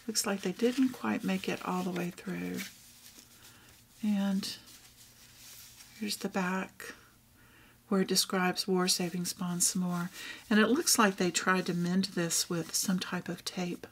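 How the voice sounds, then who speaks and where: Woman, Texan accent, lowish voice, casual, reading aloud, soft remarks. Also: steady tone, takes it slowly, long pauses, slight background noise. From Texas. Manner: reads old items aloud, softly remarking on them. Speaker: vlogger. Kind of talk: casual thrift haul show-and-tell.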